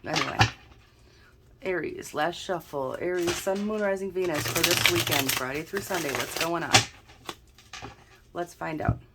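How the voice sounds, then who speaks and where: Low-voiced woman, snuffly tarot reader. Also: moderate pace, long pauses, very noisy. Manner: chatty, thinking aloud. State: snuffly, stuffed-up from allergies. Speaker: tarot reader, channel host. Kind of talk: casual tarot card reading.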